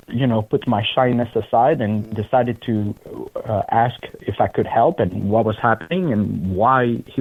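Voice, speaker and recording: Guest voice: Belgian-born man with a French accent, lowish pitch, earnest and unhurried, on a tinny phone-like line. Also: no room sound. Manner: earnest, unhurried storytelling with pauses. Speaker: guest, charity founder. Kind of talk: interview conversation.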